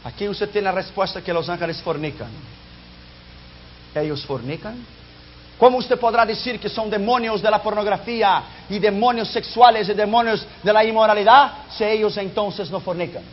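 A man preaching an emphatic sermon who learned his Spanish as a second language, dropping low and quiet at the ends of phrases. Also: normal speed, a little hiss or hum. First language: not Spanish. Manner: emphatic, drops pitch and volume sharply at phrase ends. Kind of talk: fiery sermon. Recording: dull lo-fi sound.

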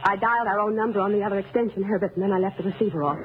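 An actress playing a timid, resentful wife turned murderous, in old-time radio thriller style, menacing and bitter. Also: female voice, medium pitch, very fast, close to an everyday voice. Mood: calm menace. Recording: noisy, narrow-band old radio recording.

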